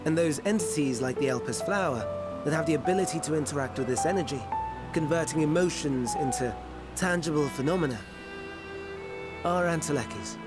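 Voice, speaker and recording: A man as a higher-voiced, earnest scholarly researcher. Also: over loud music, close to an everyday voice. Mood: scholarly, explanatory. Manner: formal archaic diction, measured explaining. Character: earnest, scholarly male researcher, gently proud creator. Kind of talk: scripted video game cutscene acting.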